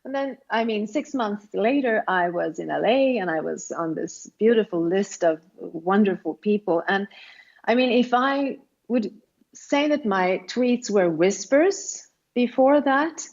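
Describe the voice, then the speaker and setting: A middle-aged Swedish woman speaks English with her native accent, earnest and reflective, circling back on her words as she thinks. Her voice sits at an ordinary pitch for a woman. The recording sounds a little dull and lo-fi. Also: lively intonation, unhurried, gravelly, a little mellow. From Sweden. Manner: earnest, reflective, frequent restarts and light laughs. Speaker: guest author. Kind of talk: interview conversation.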